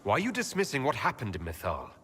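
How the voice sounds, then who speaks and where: A man playing an earnest, thoughtful heroic leader in a video game, with a measured, sincere manner. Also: mid-range voice, wildly swooping pitch, a bit bright, husky, heavily acted. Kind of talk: video game character dialogue.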